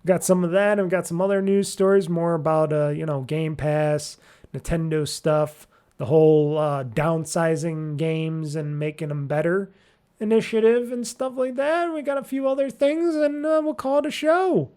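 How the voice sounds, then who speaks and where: A man's voice: a little high, moderate pace, warm tone, clear, resonant tone. A man hosting a gaming podcast, giving casual, rambling solo news commentary in an informal, mildly profane way.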